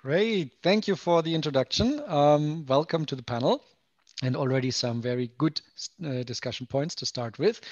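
A man's voice: on the high side, dry recording. A man in his thirties moderating a webinar panel on a headset, upbeat and chuckling as he brings in each speaker. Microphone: headset on video call. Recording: dull lo-fi call audio.

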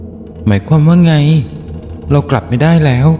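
A man voicing a frightened, bewildered young woman who asks questions in a hushed, alarmed way for an audio story. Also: fairly high pitch, fast talker, long reverb tail, slight background noise, voice pushed up, close to an everyday voice.

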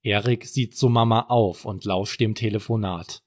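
A man's voice: fairly low pitch, steady tone, normal speed, dry recording, studio quality. A man narrating a scripted bedtime story for children as a storyteller.

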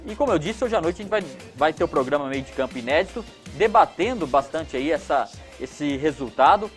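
A sports reporter in his thirties talks in a fairly high, lively voice from a TV studio, restarting his phrases as he goes. Soft music plays underneath. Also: fairly quick. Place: indoor TV studio.

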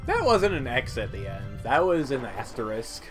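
A man's voice: mid-range voice, sing-song, normal speed. A man giving casual, offhand video game commentary with wry asides. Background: game music.

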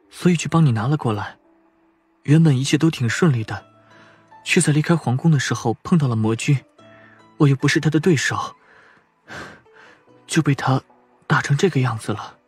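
An actor playing a wounded, weary young man in an audio drama, breathy and sighing. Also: medium pitch, normal speed, long pauses, darkish tone, faint music behind.

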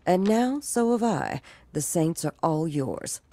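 An actor playing a shrewd, folksy woman executive with cool menace and a measured delivery in a video game cutscene. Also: lowish voice, sing-song, mellow, no room sound, full character voice. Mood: cool, warning. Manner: measured, folksy turns of phrase.

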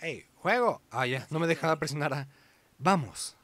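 A man's voice: fairly high pitch, animated, moderate pace, a bit bright. A man giving informal let's play commentary, reading game dialogue aloud and sometimes correcting himself. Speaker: gaming YouTuber. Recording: game music behind the voice. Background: video game music.

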